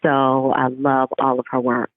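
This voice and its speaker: Over a narrow, phone-like connection, a woman author chats casually in an interview. Her voice sits at a low-to-middle pitch for a woman, with little room sound. She talks in an animated way, slipping into little re-enactments of what people say. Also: a little slow, no room sound. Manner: animated, acts out remembered remarks. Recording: narrow phone-like line, radio-show sound.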